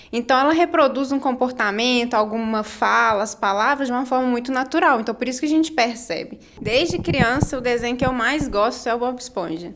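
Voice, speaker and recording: A young woman with a Brazilian accent speaks in a fairly high voice. She answers as a psychologist in an interview, unhurried and reflective, and she draws on her own life. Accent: Brazilian Portuguese. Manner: thoughtful, personal, unhurried.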